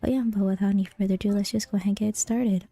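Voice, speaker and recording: A woman's voice: medium pitch, fairly even intonation, rapid-fire, dark tone, no room sound. A woman casually chatting as she streams a game, her voice affected by a cold she is recovering from. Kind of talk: casual gameplay stream chat. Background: faint game music.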